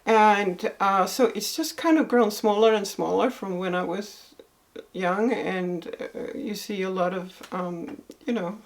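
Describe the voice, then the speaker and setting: Brief: older Swedish-accented woman, soft unhurried storyteller, mid-range voice. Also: mid-range voice. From northern Sweden. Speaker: interview guest. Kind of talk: reflective life-story interview.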